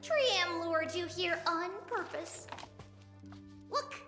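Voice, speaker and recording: A woman's voice: very high voice, animated, very slow, heavily acted. A woman voicing a cute, mischievous little girl in a video game, theatrical and teasing. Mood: playful, scheming. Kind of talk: game character dialogue. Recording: loud music behind, echoey long reverb.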